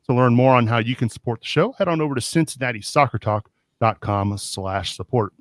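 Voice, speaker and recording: A man's voice: mid-range voice, fairly quick, no echo, studio quality. A man hosting a soccer talk podcast, moving between segments and thanking supporters. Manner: upbeat host reading segment transitions. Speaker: podcast host. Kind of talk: casual chat and show segments.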